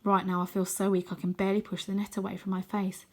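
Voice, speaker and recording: A woman narrating a children's novel aloud in an expressive storytelling style. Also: mid-range voice, quick pace. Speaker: storybook reader.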